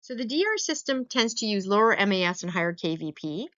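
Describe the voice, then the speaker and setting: A slightly muffled, lo-fi recording carries a woman giving an informal lecture. Her pitch sits in the middle range for a woman. She explains things conversationally, often checking in with short questions to her students. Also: very animated, brisk, a bit bright. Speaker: lecturer teaching veterinary radiography. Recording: dull, lo-fi voice-over recording.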